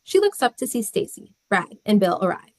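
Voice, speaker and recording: A woman's voice: medium pitch, very animated, fairly quick, no echo. Woman reading stage directions, very dry sound. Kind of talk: scripted narration at table read.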